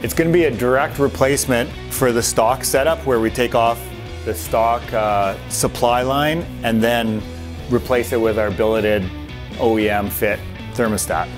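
A man's voice: mid-range voice, moderate pace, music in the background. A middle-aged man in a workshop, casually walking viewers through a motorbike part install, plain-spoken with a few fillers. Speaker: parts company host demonstrating an install. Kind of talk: casual how-to walkthrough.

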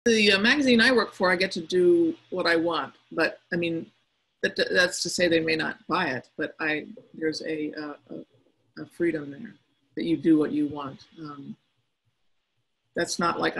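A middle-aged woman with a quiet, soft-spoken manner, speaking over a video conference call. Her voice has a gravelly edge and a husky grain. She talks casually and thoughtfully, pausing often to gather her words. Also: fairly low pitch, low-quality recording. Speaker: cartoonist speaking to young listeners. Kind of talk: casual reflective talk answering questions.

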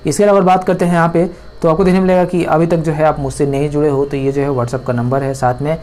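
A man talking through a cricket pitch report over a screen recording, rambling with many fillers and restarts. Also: flat delivery, fast, a little mellow. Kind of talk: screen-recorded commentary talk.

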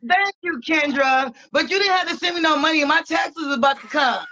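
A woman in her forties on a video call, talking in a high, bright voice. She rambles and giggles, often breaking into loud exclamations. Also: moderate pace. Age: 40s. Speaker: caller on a dating show. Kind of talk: casual chat.

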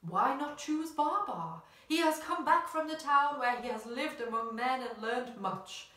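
A woman's voice: high-pitched, lively intonation, unhurried, a bit bright, pitched above the performer's own voice. Woman acting a quavering, ancient male elephant. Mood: solemn, earnest. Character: ancient, grave, wise male elephant elder. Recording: roomy, distant mic.

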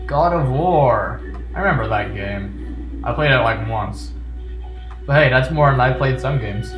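A man's voice: medium pitch, a little slow, reverberant. A man giving casual video game commentary with jokey, playfully sarcastic asides. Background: video game music.

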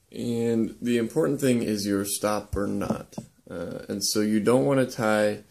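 Young guy showing how to tie lashings in his workshop, low gravelly voice, lots of ums. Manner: relaxed, halting, many ums and uhs. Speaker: woodworking instructor. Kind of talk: casual how-to demonstration. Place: indoor workshop.

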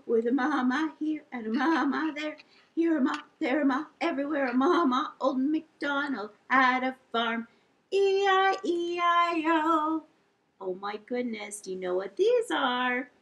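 A middle-aged woman hosting a sing-along storytime for young children, animated and encouraging as she addresses them directly. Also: high-pitched, a little slow, highs cut off. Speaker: children's library storytime host. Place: indoor lounge with couch and fireplace.

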